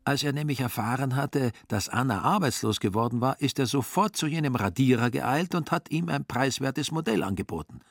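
A man's voice: medium pitch, expressive, fairly quick, creaky, few pauses, no room sound, studio quality. A man delivering scripted, ironic literary narration as the storyteller of a radio play.

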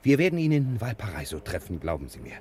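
Flustered low-voiced detective, wildly varied pitch. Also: male voice, wildly swooping pitch, fast, a little mellow, a little hiss or hum. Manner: stammering, courteous. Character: flustered, solicitous gentleman detective, eager to console. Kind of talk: German television drama acting.

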